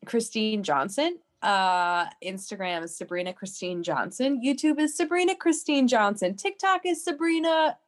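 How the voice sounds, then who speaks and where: A woman's voice: medium pitch, moderate pace, hardly any pauses. A woman chatting casually as part of a comedy podcast cast, trailing off informally. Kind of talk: casual chat.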